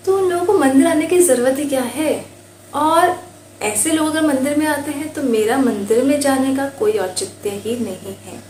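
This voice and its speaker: A woman's voice: sits high, darkish tone. An Indian woman telling a moral story to listeners in a conversational way, mixing in English words. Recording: roomy echo with faint background noise.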